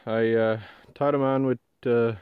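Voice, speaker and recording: A man's voice: mid-range voice, unhurried, clear, resonant tone, dry recording, narrow, tinny audio. A young man, chatting casually to the camera outdoors in a forest while building a shelter and explaining each step. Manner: relaxed, chatty, explains as he works. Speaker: bushcraft vlogger. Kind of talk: casual narration while working. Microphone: handheld or mounted action camera.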